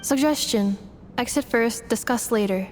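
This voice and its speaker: Woman as a polite, higher-pitched robot. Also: unhurried, in a large hall, noisy background, close to an everyday voice. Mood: calm, methodical. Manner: clipped announcements, matter-of-fact. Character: polite, literal-minded female robot, calm and formal. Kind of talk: video game voice acting.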